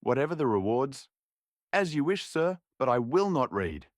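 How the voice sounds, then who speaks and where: A man's voice: mid-range voice, very animated, moderate pace, no echo, clean studio sound, pitched above the performer's own voice, natural voice. A man playing a frightened, stubborn young seminary student in a story, pleading and protesting. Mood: defiant.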